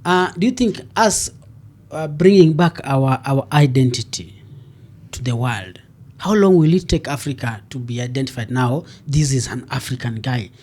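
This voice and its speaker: A man's voice: medium pitch, moderate pace. A man with a Kenyan accent hosts a podcast interview and keeps murmuring "mm-hmm" and "yeah" in agreement. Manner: steady stream of affirming "mm-hmm" and "yeah". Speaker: podcast host. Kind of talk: interview conversation.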